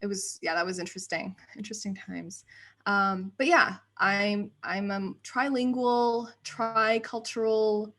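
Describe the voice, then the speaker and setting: A woman in her thirties, raised in the United States, chatting as a guest on an interview show, laughing often and filling pauses with "um" and "like". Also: medium pitch, steady tone, fairly bright tone. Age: 30s. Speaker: guest, actor and writer. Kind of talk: casual interview chat.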